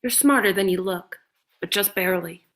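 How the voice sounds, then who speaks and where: A woman reading a jaded, blunt female TV star in a comedy script, with a deadpan, sarcastic delivery. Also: mid-range voice, moderate pace, vocal fry. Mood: scornful. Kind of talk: comedy script table read.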